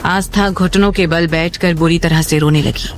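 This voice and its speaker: A woman's voice: lowish voice, steady tone, moderate pace. A woman narrating a scripted, dramatic story as the storyteller of an audio series. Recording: music bed under the voice.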